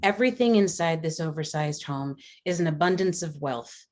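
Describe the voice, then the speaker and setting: Low-voiced woman reading screenplay narration. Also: animated, normal speed, darkish tone. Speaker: stage-directions reader.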